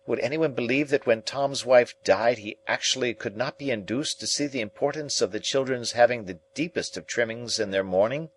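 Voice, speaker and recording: A man voicing a fussy, self-pitying older woman relative in an audiobook reading, with a shrill, affected, exclaiming manner. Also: normal speed, hardly any pauses, lightly acted. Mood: indignant. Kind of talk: audiobook character voice.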